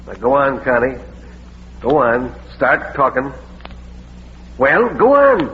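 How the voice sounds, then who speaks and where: An actor playing a gruff, impatient police sergeant in a vintage radio crime drama, blunt and badgering. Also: male voice, mid-range voice, very animated, takes it slowly. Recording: muffled, hissy vintage radio recording.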